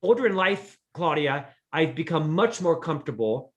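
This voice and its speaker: A man's voice: a little high, animated, moderate pace. A middle-aged man speaking as a podcast guest, telling candid, self-deprecating stories about leadership in a conversational way. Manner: earnest, self-deprecating storyteller. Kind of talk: conversational interview.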